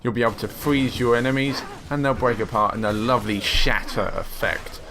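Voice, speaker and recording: A man narrating a game preview script in a smooth, upbeat way. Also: medium pitch, steady tone, fairly quick. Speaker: games presenter.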